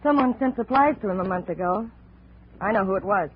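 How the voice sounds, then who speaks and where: A woman's voice: mid-range voice, brisk, natural voice. Woman playing an insistent, lovelorn radio drama woman. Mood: defiant. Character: intense, obsessive lovelorn woman in the tropics. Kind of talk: old-time radio drama acting. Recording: muffled, faintly noisy vintage radio recording.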